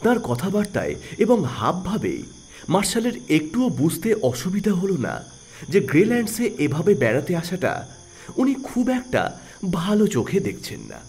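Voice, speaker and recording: A man's voice: sits high, huge pitch swings, normal speed, darkish tone, faint music behind. A man narrating a scripted audio story in a dramatic storytelling style.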